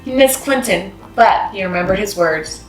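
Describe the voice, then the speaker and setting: A woman's voice: mid-range voice, animated, normal speed. A woman narrating a children's story expressively, as a read-aloud host. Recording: roomy echo, music behind.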